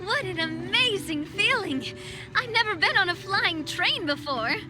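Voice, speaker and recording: A woman's voice: very high voice, lively intonation, unhurried, over loud music. A woman voicing a young, confident, playful queen in a video game, with spirited but poised delivery. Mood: exhilarated. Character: young female monarch, playful, confident, reassuring.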